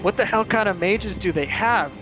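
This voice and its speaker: Man playing a male guard captain, tabletop fantasy, urgent, brusque, commanding, raised pitch, music behind. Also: moderate pace, natural voice. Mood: alarmed, brusque.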